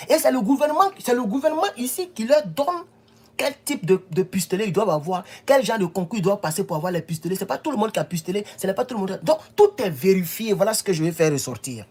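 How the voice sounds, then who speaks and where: A man's voice: sits high, a little mellow, breathy edge. A man from the Ivory Coast, giving emphatic political commentary in a home video and often repeating himself. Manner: emphatic, repeats himself, rises to insistent peaks.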